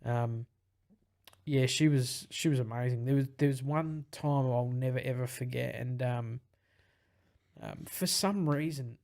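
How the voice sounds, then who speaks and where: A young man with a husky voice, a podcast guest talking in casual, rambling chat. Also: medium pitch, measured pace, darkish tone. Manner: rambling, with many fillers and restarts.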